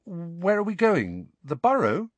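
A hesitant teenage wizard boy, voiced by a male reader, unsure of himself. The pitch is raised and lively, in a dry storybook recording. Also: a little slow, no room sound, heavily acted. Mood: hopeful.

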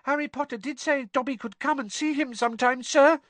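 A man's voice: extremely high pitch, a little fast, a bit bright, dry recording, pitched above the performer's own voice. A man voicing an anxious male house-elf who talks about himself in the third person.

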